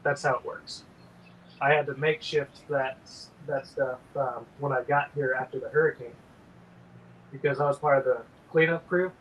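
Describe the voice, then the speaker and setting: A man's voice: mid-range voice, fairly even intonation, moderate pace, dull audio. Man, casual livestream chat, rambling, drowsy, faint background noise. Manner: rambling, sleepy, many ums. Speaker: painter and livestream host.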